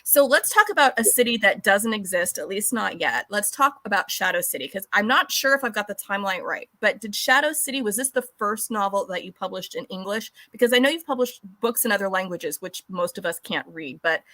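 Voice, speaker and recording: A woman's voice: mid-range voice, animated, fairly quick, few pauses, pushed and bright, dry recording. A woman from California hosting a casual interview, chatty and laughing, often saying "like". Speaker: podcast host.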